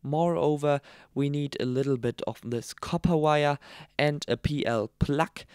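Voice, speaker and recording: A man with a German accent, a native German speaker, presenting a how-to narration with halting, hesitant non-native phrasing. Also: a little high, darkish tone, smooth, no echo, clean studio sound. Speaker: tutorial presenter. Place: voice-over, dry close recording.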